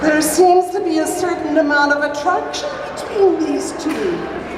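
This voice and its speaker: A woman's voice: high-pitched, expressive, measured pace, crowd noise. A woman playing a fussy, theatrical mother in flowery live stage melodrama. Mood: prim. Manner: flowery alliterative melodramatic delivery. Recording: distant, echoey noisy hall.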